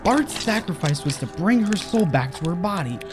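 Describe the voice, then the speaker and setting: A woman's voice: fairly low pitch, expressive, moderate pace, over music, lightly acted. A woman playing a scheming, upbeat assistant and kids' show host in a horror comedy audio drama. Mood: cheerfully sinister.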